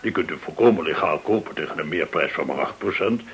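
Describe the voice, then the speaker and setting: An actor in a radio drama playing a courteous, smooth-talking gold dealer, effusively polite and theatrical in manner. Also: male voice, low-pitched, animated, fast, fairly bright tone. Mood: smooth, explanatory. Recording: hissy old radio drama recording.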